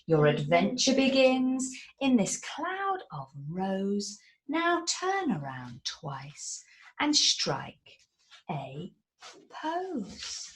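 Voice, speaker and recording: A woman reading a rhyming picture book aloud in an animated, theatrical way. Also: mid-range voice, extremely slow, poor audio. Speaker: narrator.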